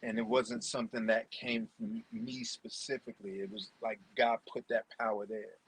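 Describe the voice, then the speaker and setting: A man from New Jersey, a poet guest telling his story deliberately and repeating phrases for emphasis. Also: fairly low pitch, animated, creaky, a crowd in the background, low-quality recording. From New Jersey, United States. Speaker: podcast guest, spoken word poet. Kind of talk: personal storytelling in an interview.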